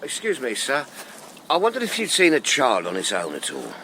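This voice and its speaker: An actor playing a civil, plain-spoken Victorian police constable with a deferential, folksy manner in a radio drama. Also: male voice, medium pitch, huge pitch swings, normal speed, sharp tone, a little hiss or hum, heavily acted, rough recording. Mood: civil, concerned.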